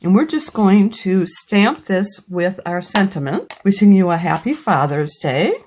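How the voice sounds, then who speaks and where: A woman's voice: medium pitch, animated, a little slow, a little mellow. A woman with a slightly scratchy voice from a cold, patiently explaining each step of a crafting tutorial as a craft demonstrator.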